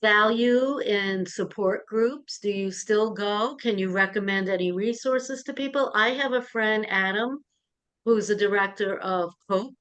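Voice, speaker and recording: Unhurried middle-aged woman with a clear voice. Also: medium pitch, a bit bright. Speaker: podcast co-host, interviewer. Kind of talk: conversational interviewing.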